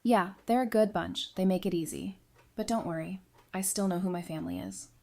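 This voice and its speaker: A woman's voice: medium pitch, brisk, mellow. A woman acting a sincere, caring friend in a fan audio drama. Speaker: voice actor.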